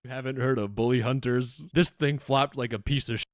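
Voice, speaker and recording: A man's voice: medium pitch, fairly even intonation, fairly quick, no room sound, studio quality. A man giving scripted video commentary in a sarcastic, exasperated way with plenty of swearing.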